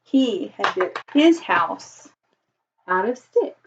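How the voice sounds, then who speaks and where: A woman's voice: mid-range voice, animated, takes it slowly. A woman voicing an aggrieved, folksy male wolf who tells his side of a children's storybook in a chatty, mock-innocent way. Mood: smug, excusing. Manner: conversational, self-justifying, mock-innocent. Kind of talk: children's storybook read-aloud. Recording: dull lo-fi recording.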